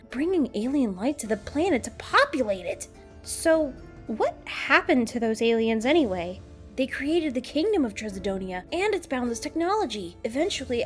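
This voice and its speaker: A woman voicing a bright, earnest young fox inventor who explains things eagerly in an animated fan dub. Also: high-pitched.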